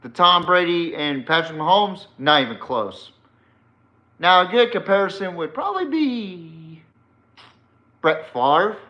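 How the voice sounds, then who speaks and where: A man's voice: high voice, lively intonation, measured pace, sharp tone. A man hosting a sports podcast, giving casual, opinionated commentary in a blunt, emphatic way and swearing freely.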